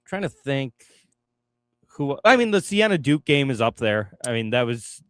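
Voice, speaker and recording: A man's voice: mid-range voice, big pitch swings, moderate pace, fairly bright tone, clear, resonant tone, no room sound, clean studio sound. A young man co-hosting a sports radio show, easygoing and agreeable. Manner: easygoing, agreeable, laughs often. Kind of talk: casual sports chat. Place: radio studio. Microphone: studio mic.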